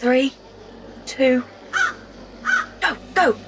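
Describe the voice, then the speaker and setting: A woman's voice: sits high, extremely slow, background noise, exaggerated voice. A woman playing a feisty, sardonic working woman in a Victorian zombie audio drama. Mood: brisk.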